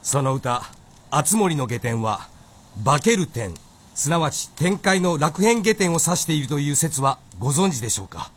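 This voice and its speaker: A man's voice: a little high, big pitch swings, moderate pace. A man playing a learned, deferential samurai vassal with formal, careful, pedantic speech in a period radio drama.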